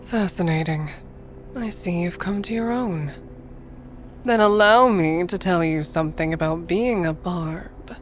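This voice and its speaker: An actress playing a cool, sardonic middle-aged mother and scientist in an audio drama, with formal, arch, measured diction. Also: on the low side, faint music behind, lightly acted. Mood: cold, ominous. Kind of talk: dramatic audio fiction acting.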